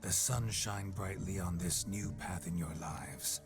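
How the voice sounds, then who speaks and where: A rakish, boisterous nobleman's spirit in a game cutscene, talking with cocky, old-fashioned swagger. The voice sits low and deep, with music playing underneath. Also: unhurried. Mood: jovial. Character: brash, rakish nobleman's spirit possessing a man. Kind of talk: video game cutscene dialogue.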